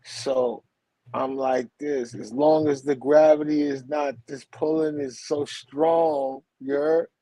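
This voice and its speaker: Slow gravelly Brooklyn man. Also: a little high, steady tone, mellow, no room sound, low-quality recording. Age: 40s. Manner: rambling, slangy, repeats "you heard?" and "for real". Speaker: guest, teacher and author. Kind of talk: casual spiritual conversation.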